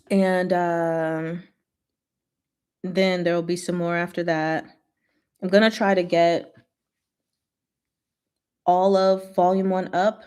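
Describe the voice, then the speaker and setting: A woman's voice: on the low side, darkish tone, smooth. A woman hosting a casual livestream, playful and informal, chatting and reading stories aloud. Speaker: host of a livestream.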